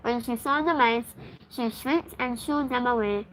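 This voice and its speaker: A woman with a high voice reads a children's storybook aloud as host. The recording carries clear background noise behind her. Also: a little slow, low-quality recording.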